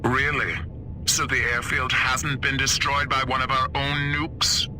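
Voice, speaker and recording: An actor playing a skeptical, commanding government official in a video game cutscene, speaking with authority. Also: male voice, medium pitch, level tone, unhurried, music in the background, lightly acted.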